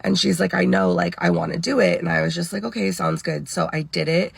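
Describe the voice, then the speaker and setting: A woman's voice: on the low side, fairly quick, creaky, breathy edge, dry recording. A woman in her thirties, a former hairdresser, telling her own story in a casual, rambling way, full of "like" and "you know", with a congested voice.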